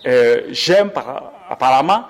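A male doctor giving health advice in an interview, hesitant and full of fillers and self-corrections. His voice sits mid-range in pitch. The sound trails off in a long reverb. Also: expressive, slow, creaky. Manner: hesitant, many fillers and restarts, advising listeners.

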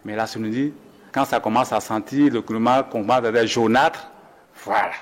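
A man's voice: medium pitch, fairly even intonation, normal speed, in a large hall. A man, a doctor, explaining symptoms methodically in an interview, listing points with restarts and a frequent "voilà".

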